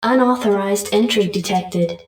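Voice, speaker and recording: A woman's voice: mid-range voice, measured pace, noisy background, natural voice. A woman playing an impersonal security computer in a video game, making clipped, formal announcements. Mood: impassive. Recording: echoey, distant announcement.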